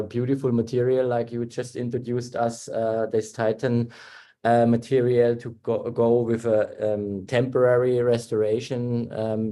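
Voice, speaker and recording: A German-born man talks through an online webinar in careful, halting English. He fills his pauses with plenty of ums and uhs and often restarts his sentences. His voice sits at an ordinary middle pitch for a man, picked up by a computer mic on a video call. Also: dark tone. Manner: halting, many fillers and restarts. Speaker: webinar presenter, dental technician.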